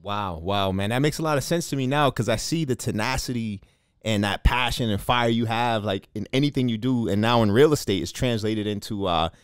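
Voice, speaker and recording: Upbeat podcast host man, lowish voice. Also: fairly quick, clean tone, dry recording, studio quality. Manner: upbeat, encouraging, many fillers. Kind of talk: casual interview.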